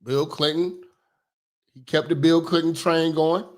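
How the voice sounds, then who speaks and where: A man hosting a comedic commentary, talking loud, profane and animated, sometimes shouting. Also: fairly high pitch, a little slow. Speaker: commentary host.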